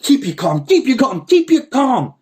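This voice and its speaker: Man acting a frantic, blustering landowner, pitch leaping. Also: expressive, normal speed, mellow, natural voice. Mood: stunned, frantic. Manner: exasperated, repeats himself, stammering commands. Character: panicky, blustering landowner, obsessed with his rights. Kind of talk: dramatic storytelling.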